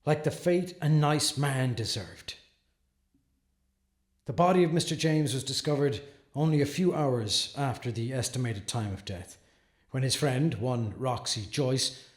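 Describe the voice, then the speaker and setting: Guy acting as earnest defense lawyer full of doubt, brooding audio drama monologue, slow pauses, echoey room. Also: medium pitch, a little mellow, lightly acted. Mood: somber. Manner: deliberate, pausing, brooding. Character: earnest, troubled male defense attorney wrestling with doubt. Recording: echoey, roomy sound.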